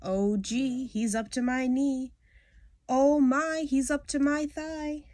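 A woman's voice: sits high, steady tone, takes it slowly, a little mellow, no echo, studio quality, pitched above the performer's own voice. A woman voicing a panicking victim being swallowed by a snake, in singsong, mounting alarm. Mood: rising panic.

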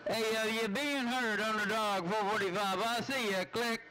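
A man's voice: high-pitched, fairly even intonation, normal speed, very noisy. A man chatting casually over CB radio in a folksy, teasing, slangy way. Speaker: CB radio operator.

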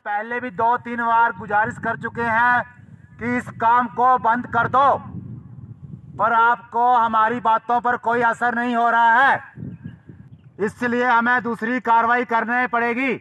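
A man's voice: very high voice, monotone, unhurried, sharp tone, lo-fi sound, slight background noise. A man making a stern, insistent army warning announcement through a megaphone outdoors in the mountains. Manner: stern, insistent, repeats himself. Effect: amplified through a megaphone.

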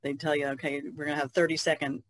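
A woman artist talking casually as a podcast guest, in halting, loosely strung phrases. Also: sits low, flat delivery, dry recording. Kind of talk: casual conversational recollection.

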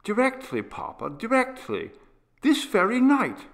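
A man's voice: very high-pitched, huge pitch swings, a little slow, vocal fry, fairly bright tone, pitched above the performer's own voice, exaggerated voice. A man voicing a frightened, sickly young boy who pleads tearfully with his father. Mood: pleading, tearful. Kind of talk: audiobook character voice.

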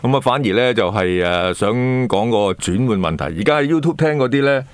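A man's voice: lowish voice, lively intonation, fairly quick, dry recording. A man co-hosting a radio chat show in a small studio, explaining things with a Hong Kong Cantonese accent. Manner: explanatory, recalls old radio practice. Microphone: boom mic on a stand.

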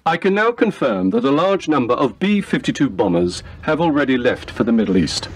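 An actor in a radio play playing an earnest war correspondent reading a grave news report. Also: male voice, mid-range voice, very animated, a little fast, slightly rough. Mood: grave, urgent.

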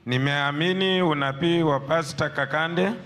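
A man's voice: a little high, normal speed, a bit bright. A man in his forties with a Kenyan accent giving an earnest testimony to a preacher. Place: large church hall. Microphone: handheld microphone held for him.

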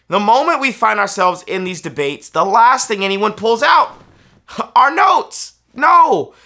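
A man's voice: high voice, bright, forceful tone. An American man in his thirties, a preacher giving an instructional talk in an emphatic, persuasive manner, repeating key phrases. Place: small furnished indoor room, seated on a sofa.

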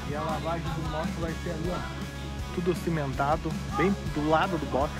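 A man's voice: fairly high pitch, fairly even intonation, a little fast, loud music behind the voice. A man in his thirties from Brazil, chatting casually to viewers while filming himself on a phone outdoors at a motocross track. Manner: chatty, addresses viewers directly. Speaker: vlogger. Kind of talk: casual walk-and-talk vlog. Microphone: phone at arm's length.

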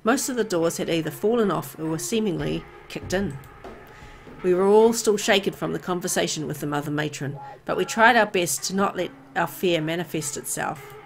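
A woman's voice: fairly low pitch, normal speed, vocal fry. A woman reading scripted story narration from a visual novel game.

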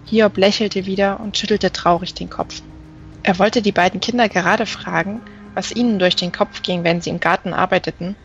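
Dull-sounding recording, woman audiobook reader, mid-pitched voice, literary narration, faint music. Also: fairly even intonation, moderate pace. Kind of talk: scripted literary narration of a fantasy novel.

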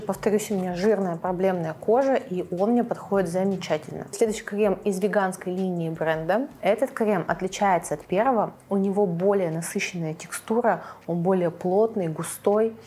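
A young adult woman explaining products to camera in a measured way, as a beauty vlogger. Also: mid-range voice, warm tone, breathy edge. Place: small furnished room indoors.

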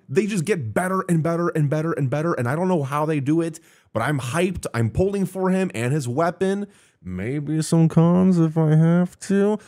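A man around his thirties giving casual, excited reaction commentary as a streamer, enthusiastic, slangy and full of exclamations. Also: medium pitch. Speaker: streamer reacting to game trailers. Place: small indoor streaming room. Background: game trailer music.

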